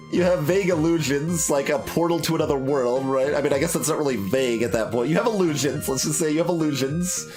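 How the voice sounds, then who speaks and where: A man's voice: medium pitch, darkish tone. Man thinking aloud in game commentary. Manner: hesitant, thinking aloud, self-correcting. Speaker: streamer playing through a game. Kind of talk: casual analytical game commentary, reading text aloud.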